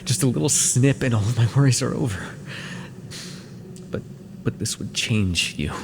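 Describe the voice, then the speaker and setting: A man playing an ancient, brooding immortal villain in an intimate fantasy audio roleplay, with a halting, dramatic, gravelly delivery. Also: mid-range voice, animated, noisy background. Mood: anguished. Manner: slow, halting, dramatic delivery with sighs and pauses. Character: ancient immortal villain, brooding, tormented, tender toward beloved mortal.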